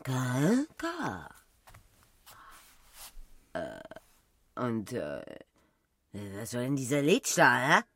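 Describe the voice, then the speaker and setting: An actress playing a brash, mocking, capricious 1920s Parisian courtesan in a radio play, theatrical and drawling. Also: female voice, rumbling low, huge pitch swings, extremely slow, pauses often, a bit bright, recorded from a distance, a little hiss or hum, exaggerated voice. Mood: baffled, scornful. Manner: theatrical, drawling, swinging from murmurs to shrieks.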